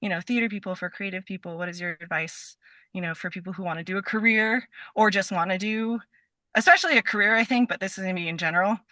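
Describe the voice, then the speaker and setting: A woman guest in a casual interview with a bright, forward voice and a dry sound. She is effusive and giggly, wandering off into asides as she talks. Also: mid-range voice, fast, no echo. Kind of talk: casual chat.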